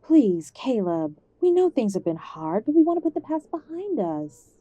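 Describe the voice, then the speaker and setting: A woman playing an ailing, contrite mother in an audio drama, soft and pleading toward her estranged son. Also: on the high side, very animated, normal speed, slightly rough, no room sound. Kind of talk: audio roleplay drama acting.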